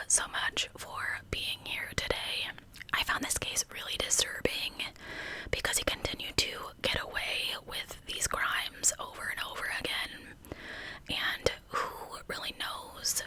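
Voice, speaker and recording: A woman narrating in ASMR style as a true crime host, speaking in a soft, deliberate, breathy whisper. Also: rough recording.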